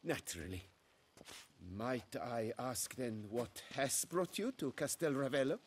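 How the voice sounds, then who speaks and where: An actor playing a fussy, proud winery steward in a fantasy game, formal and deferential. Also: male voice, mid-range voice, very animated, measured pace, slight background noise. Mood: haughty, proud. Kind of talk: video game voice acting.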